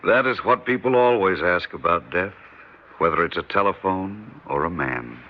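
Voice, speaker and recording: An actor playing a mysterious, solemn truck driver who speaks of death in a 1950s radio drama. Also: male voice, moderate pace, creaky. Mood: ominous calm. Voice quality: deep, calm, solemn and cryptic. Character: ominous mysterious male trucker, death-like stranger. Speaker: stranger at a diner. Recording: dull old radio recording with faint hiss.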